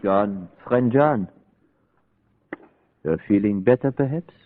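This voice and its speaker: Foreign professor, continental European accent. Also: male voice, fairly low pitch, animated, faint music behind. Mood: probing concern. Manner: deliberate, inquisitive, foreign word order. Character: earnest, probing foreign professor hunting clues. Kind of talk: old-time radio play acting. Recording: tinny band-limited old radio recording.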